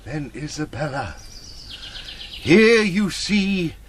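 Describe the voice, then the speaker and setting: An actor playing a proud, imperious knight in a gothic radio drama, declaiming in archaic language. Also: male voice, a little high, big pitch swings, slow pace, slight background noise. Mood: solemn, searching. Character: proud, imperious male knight, quick to anger, solemn.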